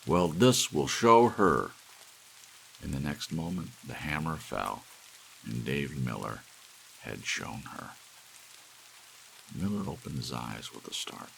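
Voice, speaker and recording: A man reading an audiobook story in a dramatic, hushed storytelling style, as a narrator. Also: a bass voice, unhurried, gravelly, pauses often, rough recording. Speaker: audiobook reader. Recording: steady hiss of soft rain. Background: soft rain.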